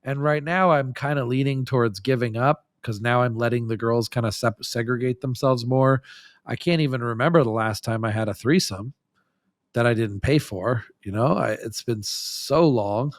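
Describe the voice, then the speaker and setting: A man's voice: medium pitch, moderate pace, no room sound, clean studio sound. A man talking casually and frankly as a podcast guest, in a loose, rambling way. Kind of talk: casual candid chat.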